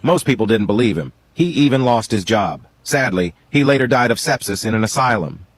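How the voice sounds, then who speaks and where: A man's voice: lowish voice, expressive, brisk, dry recording. A man reading scripted trivia narration.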